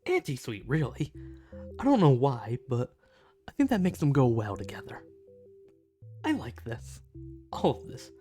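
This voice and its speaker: A woman playing a shy, snarky, touch-starved alien in an ASMR roleplay audio drama, with a halting, flustered delivery. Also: rumbling low, huge pitch swings, full character voice. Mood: pleased. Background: soft music.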